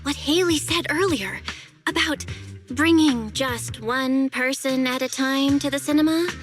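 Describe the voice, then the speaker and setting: A woman playing an inquisitive, pushy young sidekick detective in a video game, expressive and probing. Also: sits high, a little slow, faint music behind. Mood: suspicious, probing.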